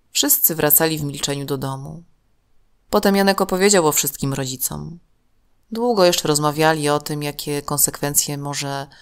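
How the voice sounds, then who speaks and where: A woman narrating a scripted children's story in a measured, expressive way. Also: low voice, clear, resonant tone.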